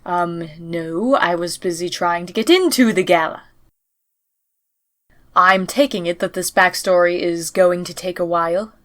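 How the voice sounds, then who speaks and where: A woman's voice: on the low side, measured pace, a bit bright. A woman voicing a blunt, indignant younger-sister pony princess in a scripted audio drama, sarcastic, exasperated and emphatic. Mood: dry, impatient.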